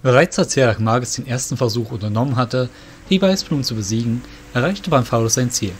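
A man's voice: medium pitch, a little fast, soft background music. A man narrating a scripted fantasy audio story in a descriptive storytelling manner.

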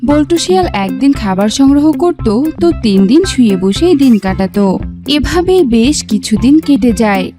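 A woman's voice: high-pitched, sing-song, moderate pace, music in the background. A woman narrator reading a scripted fairy tale for a children's cartoon.

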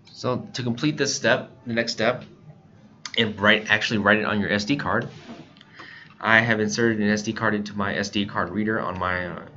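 An American man giving a casual, informal software tutorial, explaining things step by step as an instructor. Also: fairly low pitch. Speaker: instructor, scanner shop staff. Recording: muffled lo-fi voice-over, faint background noise.

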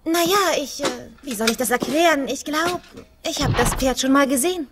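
A woman's voice: sits high, moderate pace, noisy background, lightly acted. A woman playing a cheerful, curious young horse lover in a cartoon audio play, polite and eager. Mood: politely curious. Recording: music under the voice.

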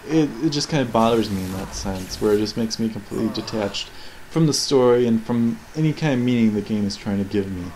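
A man's voice: on the low side, brisk, a little mellow, a little hiss or hum, rough recording. A man giving casual, rambling and sarcastic commentary on video game cutscenes, with flippant remarks. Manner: sarcastic, flippant, often swearing mildly. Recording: game music and effects behind. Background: video game soundtrack.

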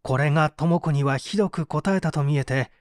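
A man's voice: a little high, brisk, no echo, studio quality, lightly acted. A man reading dramatically as a bitter, despairing painter who gives testimony in a formal, confessional way. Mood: aggrieved. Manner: confessional, expressive, formal narrative delivery.

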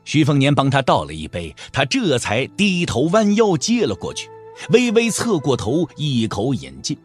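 A man's voice: mid-range voice, huge pitch swings, moderate pace, soft background music. A man narrating a novel as a storyteller in a dramatic, scripted audio drama style.

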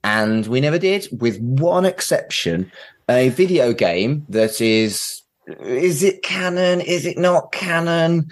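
A man's voice: fairly high pitch, lively intonation, takes it slowly. Opinionated male podcast host. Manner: opinionated, sweary, asks questions. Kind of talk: casual pop-culture discussion.

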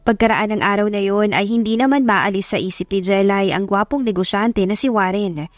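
A woman's voice: medium pitch, brisk, no echo, clean studio sound, soft background music. A woman with a Filipino accent narrating a dramatised story as an audiobook reader.

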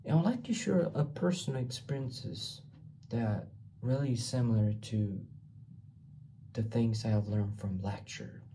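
A young man from Taiwan, a student recording a reading response for class, talking haltingly and repeating words while he searches for them, on a webcam in a small bare room. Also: mid-range voice, mellow, slight background noise, low-quality recording. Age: young adult. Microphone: laptop webcam mic, framed from below.

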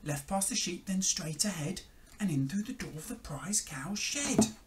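A storyteller voicing a small ladybird in a hushed, secretive, breathy way for a children's storybook. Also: mid-range voice, expressive, normal speed, far from the mic, very noisy, pitched above the performer's own voice, lightly acted. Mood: secretive, urgent. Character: small ladybird whispering a plan to farm animals.

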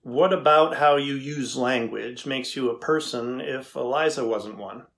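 A man's voice: medium pitch, measured pace, vocal fry, a bit bright. A middle-aged man playing a curious, skeptical tech engineer interviewing an AI in a scripted dramatization, matter-of-fact and inquisitive. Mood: probing, skeptical. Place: indoors, plain backdrop.

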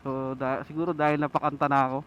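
A man's voice: mid-range voice, flat delivery, quick pace, a bit bright, lo-fi sound. A Filipino man chatting casually to himself as a motovlogger, rambling and repeating his words. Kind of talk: casual solo vlog chat while riding, with a cappella rap and singing. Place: outdoors on a moving motorcycle, inside a helmet. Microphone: action camera mic at the helmet. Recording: wind and vehicle noise inside helmet. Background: faint vehicle and road ambience.

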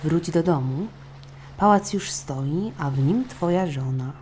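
A woman voicing a calm, regal, magical golden fish in a children's storybook. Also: low-pitched, a little slow, slight background noise. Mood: composed.

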